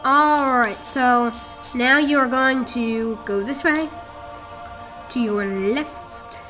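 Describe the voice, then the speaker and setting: A woman's voice: high-pitched, extremely slow, sharp tone. A woman giving a casual game walkthrough, talking the viewer through steps in a rambling, self-correcting way. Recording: game music playing behind the voice.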